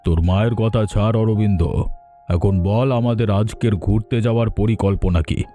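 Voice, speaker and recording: A man acts out a middle-aged father in a horror cartoon. His voice is low and dark, with a weary, exasperated, dismissive delivery. Faint music plays behind him. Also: fairly even intonation, moderate pace, close to an everyday voice.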